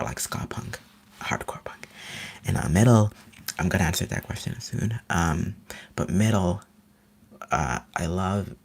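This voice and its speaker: A man from Finland, a native Finnish speaker, rambling eagerly in a soft whisper as an ASMR live streamer. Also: low voice, gravelly, husky.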